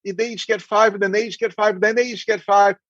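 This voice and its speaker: A man's voice: sits high, fairly even intonation, quick pace, a bit bright, no echo, dull audio. A middle-aged man speaking as a podcast guest, giving emphatic, conversational advice and acting out imagined dialogue. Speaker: podcast guest, dentist and practice coach. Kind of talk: animated conversational advice with anecdotes.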